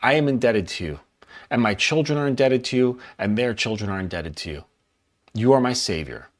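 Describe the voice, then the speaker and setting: A man's voice: medium pitch, expressive, brisk, natural voice. A man putting on the voice of a smitten young woman, gushing over the top with mock gratitude.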